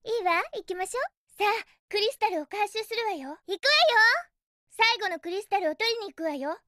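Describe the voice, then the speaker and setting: A woman's voice: very high-pitched, lively intonation, a little fast, sharp tone, no room sound, studio quality, exaggerated voice. A woman playing a spirited, bossy young hedgehog heroine in a Japanese video game, girlish and feisty, with cutesy emphatic delivery. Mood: determined, urgent.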